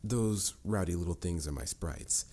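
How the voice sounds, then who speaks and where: A man's voice: sits low, a little slow, dark tone. A man playing a stern, formal mage mentor with a dry, lecturing manner in a scripted fantasy audio roleplay. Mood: grave. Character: stern, dryly exasperated adult male mage mentor.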